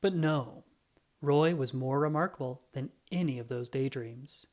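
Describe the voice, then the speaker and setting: A narrator reading a children's storybook aloud in a dramatic, expressive way. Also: medium pitch, a little slow. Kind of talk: animated scripted storybook read-aloud.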